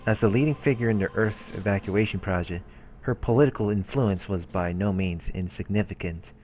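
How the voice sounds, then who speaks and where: A very low-voiced man reads visual novel text out loud as a let's play host, slipping in casual remarks. He sometimes trips on a word and rereads it, with clear game music running underneath. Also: a little fast. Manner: stumbles and rereads words while reading. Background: visual novel background music.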